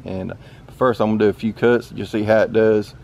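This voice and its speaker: Gravelly Southern man, folksy and easygoing. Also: on the low side, fairly even intonation, fast talker, darkish tone, lo-fi sound, a little hiss or hum. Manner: folksy, easygoing, with many "uh" fillers. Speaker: channel host. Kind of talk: casual outdoor vlog. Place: outdoors in a wooded yard.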